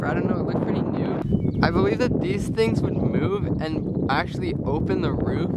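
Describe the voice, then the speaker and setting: A man's voice: on the high side, heavy background noise. A young man casually narrating as he walks through an abandoned base, describing what he finds. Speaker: urban explorer. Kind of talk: handheld exploring vlog narration. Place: abandoned missile base, indoors and out.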